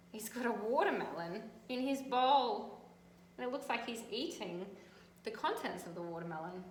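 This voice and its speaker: An Australian woman teacher reading a picture book aloud and gently pointing out details in the pictures. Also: a little high. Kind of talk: storybook read-aloud with commentary on pictures. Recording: echoey room, faint background noise.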